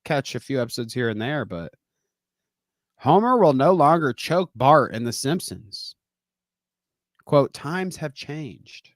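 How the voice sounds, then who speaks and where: A man's voice: medium pitch, big pitch swings, slow pace, long pauses, no echo, studio quality. Laughing casual male podcast co-host. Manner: laughs often, swears casually. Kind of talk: casual chat.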